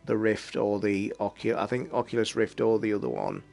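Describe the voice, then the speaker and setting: A man hosting a casual late-night livestream from his flat, talking in a rambling, blunt way and swearing freely. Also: fairly low pitch, normal speed, vocal fry. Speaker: livestream host. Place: home flat.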